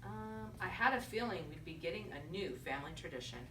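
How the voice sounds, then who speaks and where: A woman with a bright voice reads a children's picture book aloud as a teacher would. She pauses to ask encouraging questions and echo answers. The recording is roomy, picked up from a distance, with faint noise behind her. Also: lowish voice, lively intonation. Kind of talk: storybook read-aloud with conversational questions to children. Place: echoey indoor room with children. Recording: echoey room, distant mic, faint noise.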